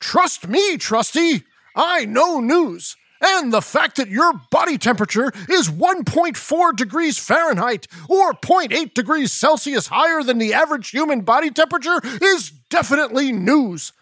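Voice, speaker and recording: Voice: high voice, big pitch swings, moderate pace, fairly bright tone, no echo, heavily acted. A performer voicing a self-important male news reporter in a children's podcast sketch, who announces everything as breaking news in a dry studio recording. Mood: newscaster. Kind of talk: kids podcast comedy sketch.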